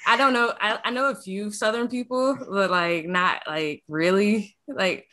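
A woman from Detroit with a Detroit accent, hosting a podcast in a frank, animated casual chat, cursing freely. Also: medium pitch, measured pace. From Detroit, USA. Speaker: podcast host.

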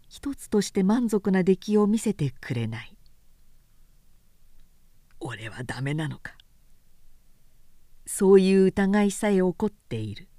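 A woman narrating a literary story in a calm, measured, soft-spoken manner. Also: medium pitch, no room sound, studio quality. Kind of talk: literary reading.

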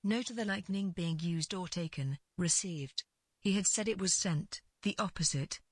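A woman with a husky voice narrating literary fiction as a scripted read, in deliberate phrases with pauses. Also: lowish voice, dry recording.

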